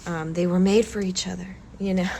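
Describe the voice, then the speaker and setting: A woman vlogger with a cold and a slightly stuffy nose, talking softly and hesitantly with many pauses during a calm art demonstration. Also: lowish voice, a little hiss or hum. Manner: slow, soft-spoken, hesitant with many ums. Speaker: collage artist vlogger.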